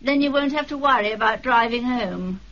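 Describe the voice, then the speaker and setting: Gracious, high-voiced society wife. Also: female voice, a bit bright. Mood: brisk, hospitable. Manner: polite, poised, brisk. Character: gracious, assured titled society wife and trustee. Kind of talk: 1970s radio drama acting. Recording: muffled, noisy 1970s radio recording.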